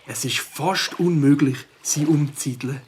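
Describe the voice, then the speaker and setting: A man's voice: fairly high pitch, unhurried, a little mellow, a little hiss or hum, close to an everyday voice. A man with a Swiss accent playing a worried, earnest bird expert in a children's audio drama.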